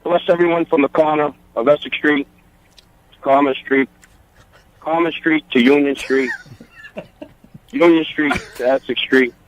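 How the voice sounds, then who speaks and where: A man's voice: a little high, steady tone, measured pace, pauses often, dull audio, lightly acted. A man playing an easygoing, distracted toll booth collector in an improvised radio comedy bit, chatty and offhand. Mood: mock solemn. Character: easygoing male highway toll booth collector giving a blessing.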